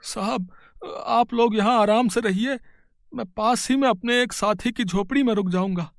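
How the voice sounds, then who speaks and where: A man's voice: high-pitched, normal speed, creaky, dry recording, studio quality. A man playing a hesitant, humble old guard. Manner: hesitant, full of "uh" fillers, deferential. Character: humble, kind older male security guard. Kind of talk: storybook voice acting.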